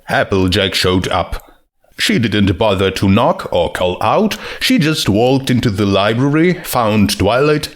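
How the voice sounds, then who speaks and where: A man narrating a written comic story as a scripted audiobook-style reading. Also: on the low side. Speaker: narrator of fan fiction.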